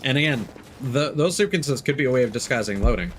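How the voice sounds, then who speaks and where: A man giving casual live commentary as he plays a game, thinking out loud and swearing. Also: medium pitch, expressive, fast, a little hiss or hum. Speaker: game streamer.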